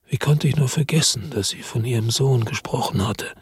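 A man narrates a first-person story in a measured, brooding voice at a middle pitch. His tone is husky and breathy, and the recording is completely dry and close with no room sound. The delivery settles into a steady melancholic rhythm. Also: no echo. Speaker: audiobook narrator.

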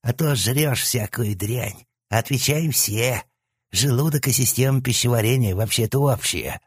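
A man's voice: medium pitch, measured pace, breathy edge, no echo, pitched above the performer's own voice. A man voicing the cheeky, crude left head of a three-headed hellhound, with a nasal, sleazy junkie's whine. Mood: sneering. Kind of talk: audiobook character voice.

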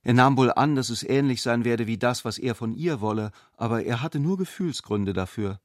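A man's voice: medium pitch, normal speed, no room sound, studio quality. A man reading scripted literary narration for a radio play.

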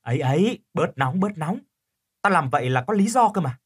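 A man's voice: on the high side, expressive, moderate pace, slightly rough, voice pushed up. A man voicing a cheeky, evasive young woman in an audio story, with a wheedling manner. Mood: sheepish.

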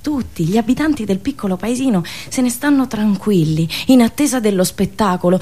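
Theatrical woman storyteller with deliberate phrasing. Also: a little high. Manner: expressive, deliberate, builds lists with dramatic pauses. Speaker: radio show host and storyteller. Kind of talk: theatrical satirical storytelling monologue.

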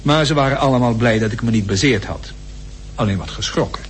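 A man's voice: medium pitch, fairly quick, a little hiss or hum, lightly acted. An actor playing a hardy, good-natured British polar explorer, telling his diary in a wry, understated way for a radio play. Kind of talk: radio drama diary monologue.

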